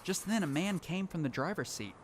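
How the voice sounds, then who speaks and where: A man's voice: high-pitched, animated, brisk, slight background noise. A man playing a lone apocalypse survivor who tells his story in earnest, dramatic first-person narration for an audio drama. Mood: reflective. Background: music.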